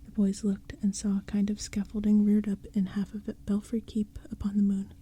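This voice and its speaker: Female narrator, hushed ASMR-style reading, dark and airy. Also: medium pitch, monotone, moderate pace, husky. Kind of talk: whispered storybook narration.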